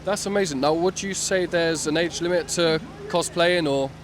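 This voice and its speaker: A man's voice: on the high side, moderate pace, a crowd in the background. A man interviewing people at a convention, polite and brief, thanking each guest. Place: busy convention hall.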